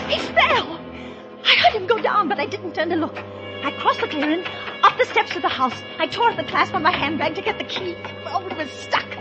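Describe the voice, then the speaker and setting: An actress playing a terrified, frantic married woman in a 1940s radio suspense drama, breathless and overwrought. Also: very high voice.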